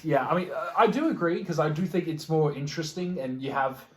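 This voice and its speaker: A man's voice: a little high, animated, fairly quick. Man chatting casually in an echoey room. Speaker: podcast co-host. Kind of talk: casual chat about film and game adaptations. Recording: echoey, roomy sound.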